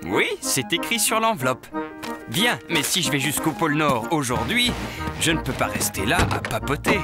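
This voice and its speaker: Cartoon bit part: a busy animal postman, brisk and expressive, music underneath. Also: on the high side. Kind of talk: children's cartoon dialogue.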